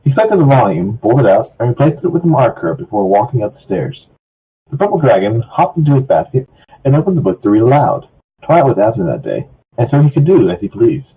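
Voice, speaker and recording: A man's voice: medium pitch, big pitch swings, fairly quick, slightly rough. A man chatting casually and reading a story aloud with friends over an online voice call. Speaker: amateur fan fiction reader. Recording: muffled, phone-like online voice call.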